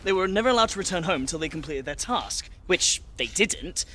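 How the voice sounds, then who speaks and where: A young scholar companion in a fantasy game. His voice is high and lively, with an earnest, bookish manner and a nervous edge, over faint background noise. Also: a little fast. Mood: earnest, explaining. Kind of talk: video game character acting.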